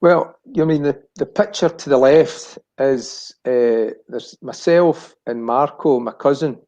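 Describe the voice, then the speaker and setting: A man's voice: mid-range voice, slow, warm tone. A Scottish man of about sixty reminiscing casually as a chat show guest, rambling and searching for words. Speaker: chat show guest, family business director.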